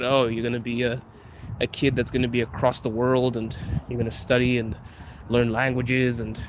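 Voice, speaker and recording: A man from Zimbabwe hosting a casual live stream, chatting with viewers and answering their questions while riding the metro. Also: mid-range voice. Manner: chatty, answers chat questions, laughs often. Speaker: livestream host. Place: Moscow metro train and platform. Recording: loud train and city noise.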